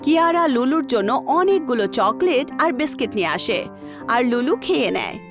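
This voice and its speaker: A woman's voice: sits high, very animated, normal speed, over music. A woman narrator reading a scripted children's story.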